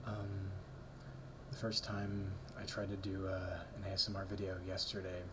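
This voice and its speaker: A man whispering a rambling ASMR talk close to the mic, softly repeating words as triggers, with ums and small nervous laughs. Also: low-pitched, completely flat delivery, moderate pace, noisy background. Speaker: ASMR creator. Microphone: close ASMR mic, brushed.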